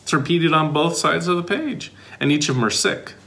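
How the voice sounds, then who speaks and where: A man's voice: fairly high pitch, moderate pace, bright, forceful tone. A middle-aged man reading a children's picture book aloud expressively, with sing-song questions and playful asides. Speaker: volunteer storybook reader.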